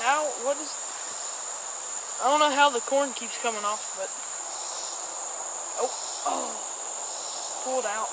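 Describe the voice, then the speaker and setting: High-voiced guy fishing outdoors. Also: slow, pauses often. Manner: chatty, narrating to himself while fishing. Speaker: outdoors vlogger. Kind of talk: casual fishing vlog chat. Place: outdoors by a creek among trees. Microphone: camera on a stand a few feet away. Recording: outdoor camera audio with insect noise. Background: insects and crickets chirping.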